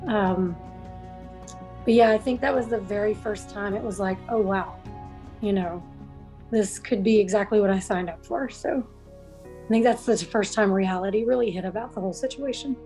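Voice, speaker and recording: A woman in her forties, a Navy veteran, reflecting earnestly on a webcam in a room at home, with frequent pauses and fillers. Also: medium pitch, mellow, faint music behind, poor audio. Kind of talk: recorded testimonial.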